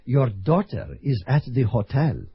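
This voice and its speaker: Smug soviet commissar, bouncy sing-song delivery, muffled old radio. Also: male voice, mid-range voice, big pitch swings, unhurried, slightly rough, dry recording, full character voice. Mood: smooth, menacing. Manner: suave, patronizing, quietly menacing. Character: smooth, scheming Soviet political commissar, male. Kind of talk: vintage radio drama acting.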